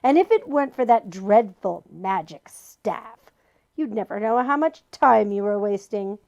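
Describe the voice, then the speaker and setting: A woman's voice: medium pitch, expressive, measured pace, creaky, lo-fi sound. Woman voicing a shrieking, whispering petty demon. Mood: sly persuasive. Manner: shrieking, then soft deceitful whisper. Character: scheming male demon of petty tasks.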